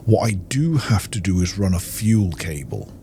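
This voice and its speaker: A man's voice: sits low, sing-song, normal speed, dark tone, slight background noise. A man giving casual gameplay commentary, musing and thinking aloud to himself. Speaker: gamer, let's play host.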